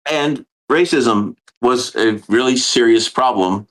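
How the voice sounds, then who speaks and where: Man, history teacher type, earnest explainer, middle pitch. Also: takes it slowly, low-quality recording. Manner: earnest and explanatory, with many fillers. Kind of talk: casual podcast discussion.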